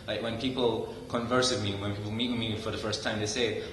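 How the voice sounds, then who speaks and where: A man's voice: on the low side, flat delivery, brisk. A young male student from Malaysia answering interview questions conversationally, with fillers. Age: young adult. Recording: echoey hall from far off, faint noise.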